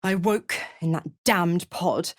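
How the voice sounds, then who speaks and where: A woman's voice: on the low side, takes it slowly, no room sound, studio quality. An actress playing a seductive, scheming female devil in a video game, mocking and purring with condescending endearments. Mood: smug, taunting. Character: seductive, scheming, gloating female devil who makes pacts. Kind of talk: theatrical game character dialogue.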